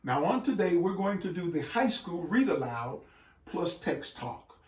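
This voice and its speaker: In an echoey room, a man presents like a teacher addressing parents. His voice sits fairly high and swings widely in pitch. He leans on key words and sets them apart with deliberate, dramatic pauses. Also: very animated. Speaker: literacy educator hosting a read-aloud session for parents. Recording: echoey room, distant microphone.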